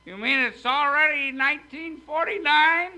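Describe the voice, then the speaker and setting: A man's voice: very high voice, normal speed, sharp tone, full character voice. An actor playing a befuddled, tipsy, polite male passerby in a 1950s radio comedy. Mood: startled. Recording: old radio recording with faint noise.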